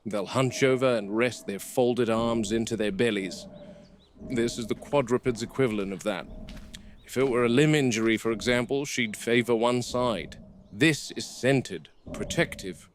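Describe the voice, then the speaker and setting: A man playing a seasoned, watchful game warden, recording an analytical field log in measured, clipped observations. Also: mid-range voice, close to an everyday voice. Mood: clinical, calm. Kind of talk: found-footage field log monologue. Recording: noisy VHS-style found-footage audio.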